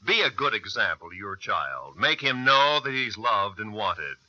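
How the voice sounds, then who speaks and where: An American man reading a scripted 1950s radio announcement in a formal, measured way. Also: on the low side, big pitch swings, bright, forceful tone, no echo. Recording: dull, dry old radio recording.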